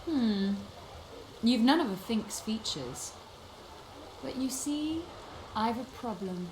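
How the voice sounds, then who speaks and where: High-voiced, commanding circus showwoman. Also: lively intonation, slow pace, noisy background. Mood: shrewd, businesslike. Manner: theatrical, haughty, sharp-witted. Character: brisk, commanding female circus leader, sly showwoman. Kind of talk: video game voice acting. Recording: echoey game scene with music.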